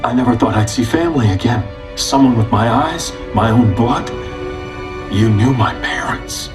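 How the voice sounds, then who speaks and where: An actor playing a grave superpowered man who speaks quietly and sincerely. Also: medium pitch, moderate pace, lightly acted. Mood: moved. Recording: game cutscene with music.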